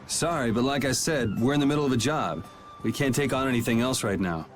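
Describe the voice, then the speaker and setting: A man's voice: mid-range voice, fairly quick, faint music behind, natural voice. A man playing a cool, self-assured young guild swordsman in a video game, with a dry, laid-back delivery. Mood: wry, guarded. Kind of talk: video game dub acting.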